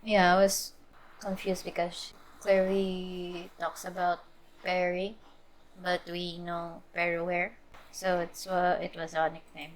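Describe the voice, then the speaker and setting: A woman game streamer giving casual, halting commentary and murmured reactions during a playthrough. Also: lowish voice, level tone, a little hiss or hum, poor audio.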